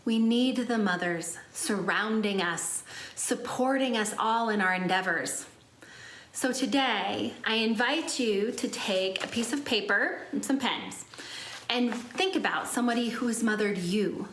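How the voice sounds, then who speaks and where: A woman's voice: a little high, a little slow, fairly bright tone, echoey room, rough recording. A woman giving a prepared, inspirational reflection as a speaker at a church service.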